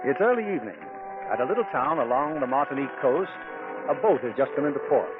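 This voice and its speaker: A man's voice: medium pitch, expressive, fast talker, phone-quality sound, over music. A man hosting and narrating a 1940s radio drama in formal, polished scripted phrasing. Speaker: radio producer and host. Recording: husky, thin 1940s radio recording.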